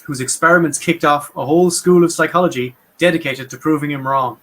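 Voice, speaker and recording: Fast-talking Irish man, bright tone. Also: fairly high pitch. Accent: Irish, Munster. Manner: quick, chatty, wry. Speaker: podcast host. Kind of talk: casual explanatory chat.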